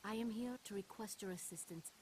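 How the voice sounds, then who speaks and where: An actress playing a determined resistance agent in a video game, cool and challenging. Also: female voice, medium pitch, brisk, background noise, close to an everyday voice. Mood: guarded. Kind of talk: video game cutscene acting. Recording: loud music behind.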